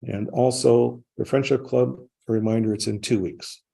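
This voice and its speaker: A man with a creaky, rough-edged voice chairs a council meeting informally. His tone is dark and mellow. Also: mid-range voice, fairly even intonation, normal speed. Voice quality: gravelly, husky.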